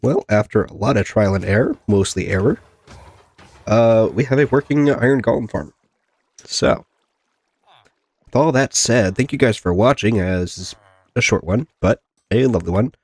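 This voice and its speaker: A man's voice: fairly low pitch, moderate pace, pauses often, husky. A man with a congested, plugged-up nose from a cold gives casual, rambling game commentary, often talking to himself and to the game's characters. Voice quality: congested, stuffy-nosed. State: getting over a sickness, plugged nose. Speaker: host of a gaming video.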